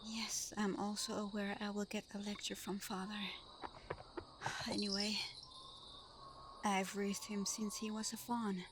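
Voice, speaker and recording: A woman playing Santa's gentle, bashful adopted daughter in an ASMR roleplay, soft-spoken, hesitant and apologetic. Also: medium pitch, background noise, natural voice. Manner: soft-spoken, hesitant, breaking into little giggles.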